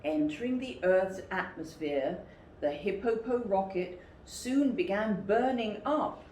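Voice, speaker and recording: A woman reads a children's story at an unhurried pace, with widely varied, dramatic intonation. The room adds noticeable echo to the recording. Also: mid-range voice, lively intonation, a little mellow. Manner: deliberate, dramatic emphasis. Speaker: storyteller, teacher. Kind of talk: expressive picture-book reading for children. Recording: roomy echo, faint background noise.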